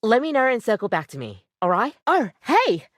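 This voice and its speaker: A woman's voice: fairly high pitch, wildly swooping pitch, moderate pace, no echo, studio quality. A woman voicing an overworked, apologetic barista in a video game, chatty, rambling and self-deprecating, with a weary devotion to the job. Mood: casual, expectant. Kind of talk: video game character acting, conversational.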